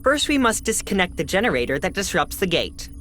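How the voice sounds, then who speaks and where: A woman playing an eager, brisk science advisor in a video game, explaining matters in a matter-of-fact way. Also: fairly low pitch, very animated, fairly bright tone, faint music behind. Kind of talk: video game cutscene acting.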